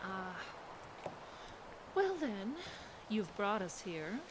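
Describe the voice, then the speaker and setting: An actress playing a mysterious, sly and seductive stranger, theatrical and coaxing, in an audio drama. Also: female voice, mid-range voice, big pitch swings, extremely slow, slight background noise. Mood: expectant. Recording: loud background ambience, vehicle-like drone.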